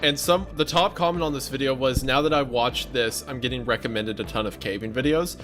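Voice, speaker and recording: A man's voice: mid-range voice, a little fast, fairly bright tone, soft background music. A man giving casual spoken commentary in an informal way.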